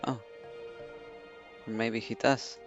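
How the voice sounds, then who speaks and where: A man's voice: mid-range voice, level tone, slow. Guy streaming a game, chatty reactions, kinda muffled sound, faint music. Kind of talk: casual reaction commentary. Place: indoors at a computer. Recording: muffled mic over soft game music.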